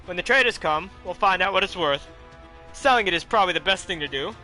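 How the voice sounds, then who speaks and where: A man's voice: high-pitched, lively intonation, quick pace, sharp tone, soft background music, pitched above the performer's own voice, exaggerated voice, poor audio. A man voicing a stern, worried middle-aged farmer uncle in a storybook reading, clipped and scolding. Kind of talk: audiobook character voice.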